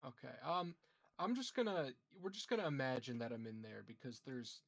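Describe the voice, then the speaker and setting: A man's voice: mid-range voice, very animated, brisk, creaky, fairly bright tone, dry recording. A man talking casually and laid-back as a player in a tabletop roleplaying game.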